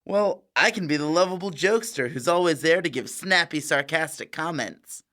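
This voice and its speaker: A woman's voice: low-pitched, animated, moderate pace, a bit bright. A woman playing a nervy, wisecracking teenage student sidekick in a comedic audio drama, glib and jokey. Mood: glib, eager.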